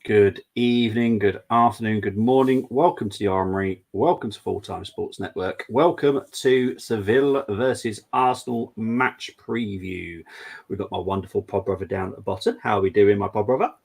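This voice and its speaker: Male podcast host, gravelly low voice, upbeat and welcoming. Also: normal speed, darkish tone. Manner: upbeat, greets listeners. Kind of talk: casual football podcast hosting.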